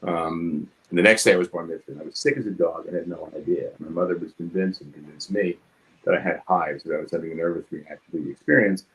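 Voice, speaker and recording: A man in his seventies from New York City with a New York accent, talking casually about his craft in his home studio, quick and succinct, hitting consonants hard. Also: sits low, fairly even intonation, poor audio. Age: 70s. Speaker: guest artist. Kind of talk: casual studio chat while drawing.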